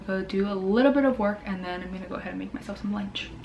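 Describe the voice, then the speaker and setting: A woman's voice: medium pitch, fast, darkish tone, slight background noise. Chatty young woman vlogging at home. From Hawaii. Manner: chatty, upbeat, many fillers like "um" and "like". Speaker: dental student vlogger. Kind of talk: casual vlog chat. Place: home, indoors.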